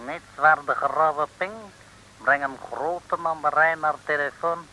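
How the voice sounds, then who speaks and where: An actor playing a pompous, scheming island ruler as a broad comic caricature, speaking ceremonious pidgin in an old radio serial. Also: male voice, fairly high pitch, fairly even intonation, slow, sharp tone, full character voice, poor audio. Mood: crafty, smug. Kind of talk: 1950s Dutch comic radio serial acting.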